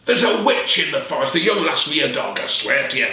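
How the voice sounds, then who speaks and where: A man's voice: mid-range voice, very animated, moderate pace, pushed and bright. A man voicing a frantic, cursed middle-aged peddler, echoey and heard from a distance. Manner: shrieking, frantic. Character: terrified cursed middle-aged male peddler, gruff and shrill.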